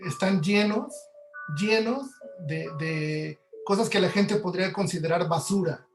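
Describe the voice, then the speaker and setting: A man giving an informal, halting lecture, explaining as he goes. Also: high voice, over music. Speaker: audio producer giving a talk. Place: indoor room, online webinar. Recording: echoey room on webinar audio.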